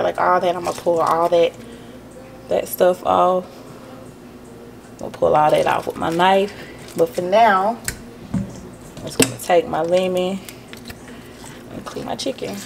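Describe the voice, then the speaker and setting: A woman hosting a relaxed cooking tutorial in her home kitchen, chatting to her viewers with plenty of ums as she works. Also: fairly low pitch, creaky, noisy background. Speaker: cooking vlogger.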